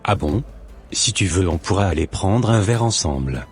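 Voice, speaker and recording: A man's voice: low-pitched, expressive, moderate pace. A man voicing an earnest, courteous and easily charmed husband in a scripted cartoon, with formal phrasing. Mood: smitten.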